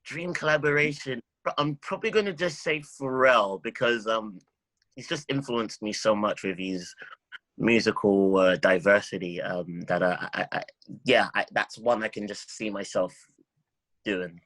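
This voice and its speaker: A man speaking as a songwriter guest in an interview, reflective and halting, often repeating words as he thinks. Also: fairly low pitch, a bit bright, no echo, poor audio.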